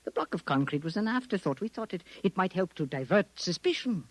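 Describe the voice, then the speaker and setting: An actor in a 1950s radio drama playing a cruel, polite Martian invasion leader who speaks with deliberate, gloating menace. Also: male voice, sits high, sing-song, no echo. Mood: suavely menacing. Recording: vintage 1950s radio recording.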